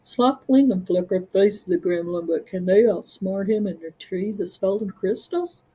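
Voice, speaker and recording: A woman voicing a gentle, wise sloth father who soothingly tells bedtime storybook tales. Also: medium pitch, normal speed, husky, natural voice, rough recording.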